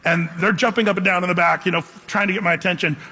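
A man's voice: high-pitched, steady tone, fast, sharp tone, husky. A middle-aged man preaching a sermon in a casual, rambling way, full of "man" and "you know". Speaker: pastor. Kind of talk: sermon with storytelling. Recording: long hall reverb, faint music.

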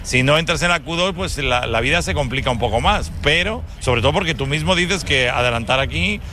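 A man co-hosting a casual chat, jokey and colloquial, chipping in with quick interjections. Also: fairly high pitch, expressive, bright, forceful tone, a little hiss or hum. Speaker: co-host.

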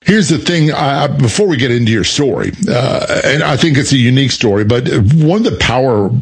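A male radio host with a husky voice, pitched in the middle of the range. He runs quickly through promotional lines and guest intros, then asks questions in a conversational way. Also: animated. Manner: promotional, reads intros quickly with some fillers. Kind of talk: radio interview.

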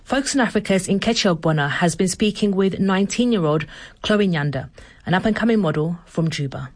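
A woman's voice: fairly low pitch, lively intonation, a little fast. A woman co-presenting a news programme, reading a scripted introduction.

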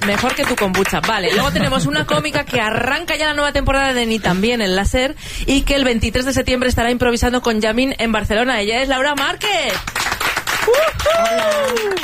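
A woman with a very high voice co-hosts a comedy quiz on the radio. She is excitable and mock-dramatic, presenting playfully. Noise is clearly audible behind her. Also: quick pace, a loud crowd. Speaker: co-host of a radio quiz show.

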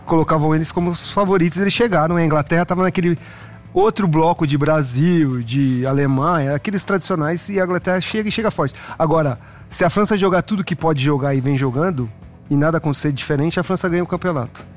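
A man's voice: on the high side, quick pace, soft background music. A Brazilian man chatting casually as a football pundit on a talk show. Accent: Brazilian Portuguese. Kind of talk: casual sports chat.

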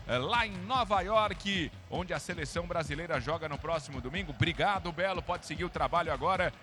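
A Brazilian man hosting a live sports broadcast, emphatic, with drawn-out hand-offs. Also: fairly high pitch. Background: music bed.

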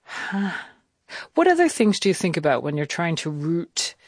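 A woman interviewing conversationally for radio, putting her questions in a measured way with pauses. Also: lowish voice, big pitch swings, no room sound, clean studio sound.

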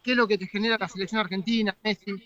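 A Rioplatense woman reporting live from the street, chatting briskly with fans. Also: medium pitch, fairly even intonation, fairly bright tone, highs cut off. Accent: Rioplatense Spanish.

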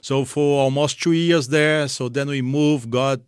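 Slow-paced male interview guest. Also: medium pitch, fairly even intonation, clear, resonant tone, no room sound, studio quality. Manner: simple non-native phrasing, emphatic repetition. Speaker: interview guest, missions leader. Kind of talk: personal testimony in a radio interview. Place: radio studio.

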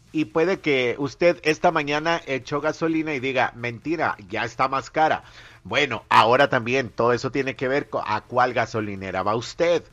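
A man's voice: mid-range voice, a bit bright, muffled recording. Joking radio host, man. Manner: playful, teasing, reads listener messages aloud. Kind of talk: joking morning radio banter.

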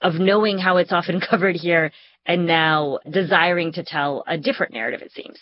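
A woman with a lowish voice hosts an interview. She builds her questions carefully and thoughtfully. The sound is very dry and slightly dull. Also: fairly even intonation, a little fast, dry recording. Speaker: interviewer, host. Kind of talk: thoughtful news podcast interviewing.

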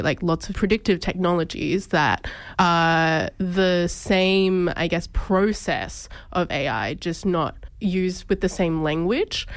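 A woman's voice: lowish voice, clean tone, dry recording. A woman hosting a podcast, asking a long question in searching, hesitant phrasing. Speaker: podcast host. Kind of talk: interview question.

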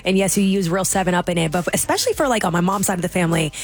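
A woman co-hosting a radio show in casual, slangy, animated banter. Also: lowish voice, racing. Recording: music bed behind voice.

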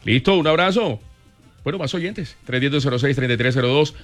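A man's voice: mid-range voice, animated, fairly quick, a bit bright, soft background music. A male radio host, teasing his co-host and laughing often during call-in banter.

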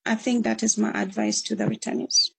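A woman's voice: a little high, little pitch movement, fairly quick, creaky, husky. A woman from northern Uganda with a Ugandan accent, answering interview questions in an earnest, encouraging way. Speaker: returnee guest.

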